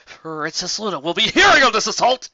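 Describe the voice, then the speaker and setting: A man's voice: sits high, sing-song, brisk, sharp tone, no room sound, dull audio, voice pushed up, full character voice. A man voicing a huffy, officious pony translator with a prim, stiff manner in a story. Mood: indignant.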